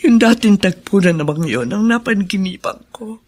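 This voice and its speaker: A woman's voice: medium pitch, moderate pace, darkish tone, slightly rough, lightly acted. A woman playing a troubled, weary older plantation woman in a Filipino radio drama.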